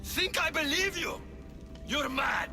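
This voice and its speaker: A male actor playing a frantic, defiant cult defector in a video game cutscene, erratic and accusing, with a creaky voice. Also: very high voice, takes it slowly, raised voice. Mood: paranoid, accusing. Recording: long hall reverb under music.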